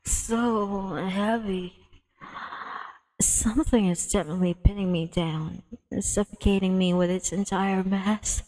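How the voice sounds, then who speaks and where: A woman's voice: medium pitch, takes it slowly, low-quality recording. A woman livestreaming a visual novel, casually reading narration aloud with a playful manner. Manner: playful, excitable in asides.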